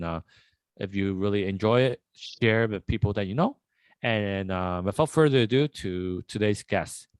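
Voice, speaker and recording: A man hosting a casual podcast interview, rambling and relaxed with many fillers. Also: fairly low pitch, dry recording. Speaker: podcast host.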